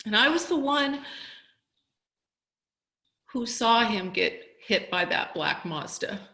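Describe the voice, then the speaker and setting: A woman playing a grieving, guilt-ridden mother in a halting, emotional theatrical monologue with heavy pauses. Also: fairly high pitch, animated, bright, forceful tone, heavily acted. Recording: echoey room over video call.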